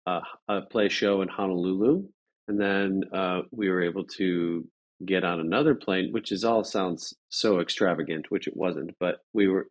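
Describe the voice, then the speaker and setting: Low-voiced man, halting casual storyteller. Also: muffled recording. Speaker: interview guest, drummer. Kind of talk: casual anecdote in an interview.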